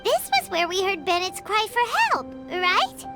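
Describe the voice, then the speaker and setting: An extremely high, squeaky game fairy girl, with wildly swooping intonation. She is excitable, blunt and theatrical, like a tiny child companion, over loud background music. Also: a little slow, heavily acted. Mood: earnest, recounting. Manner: exclamatory, dramatic, repeats words. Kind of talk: animated game voice acting.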